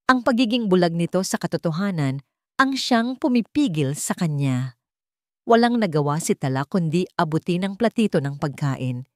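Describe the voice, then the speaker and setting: Female story narrator, lower register, dry close sound, dramatic scripted read. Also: no echo.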